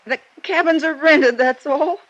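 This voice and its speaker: An actress with an American accent playing a frightened, secretive country innkeeper's wife in an old radio drama, nervous and halting. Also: female voice, high voice, a bit bright, lo-fi sound. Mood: terrified, pleading.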